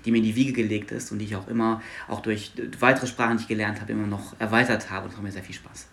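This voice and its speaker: A man's voice: on the low side, little pitch movement, quick pace, gravelly, fairly bright tone. A man from Luxembourg whose first language is Luxembourgish, a linguist guest explaining fluently in an academic interview.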